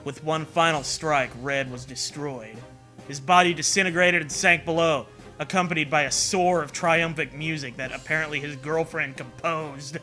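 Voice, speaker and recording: A man's voice: a little high, steady tone, moderate pace, pushed and bright. A man co-hosting a comedy riffing show, switching between mock-dramatic story reading and crude, sweary, sarcastic joking. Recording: music behind the voice. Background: music.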